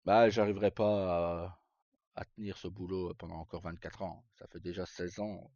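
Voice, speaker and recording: Low-voiced Frenchman streaming, roomy dull sound. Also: flat delivery, normal speed, a little mellow. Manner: colloquial and sweary, talks in short, broken-off phrases. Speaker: live streamer. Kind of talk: casual, unscripted livestream chat to viewers. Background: faint game music.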